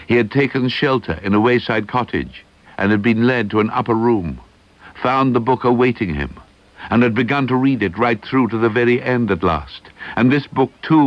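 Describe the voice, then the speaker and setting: A man giving a measured, suspenseful reading of a ghost story, slowing and hushing at the eerie moments, on an old tape recording. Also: on the low side, no room sound. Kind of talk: dramatic audiobook narration. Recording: dull lo-fi archival tape digitised from cassette.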